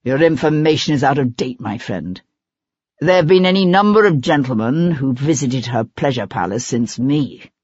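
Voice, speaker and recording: A narrator voicing an arrogant, theatrical older male stage actor with a deep, rich, stentorian voice and a curt, dismissive manner. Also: lively intonation, moderate pace, a little mellow, husky, no room sound. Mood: curt, scornful. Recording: slightly dull, dry lo-fi recording.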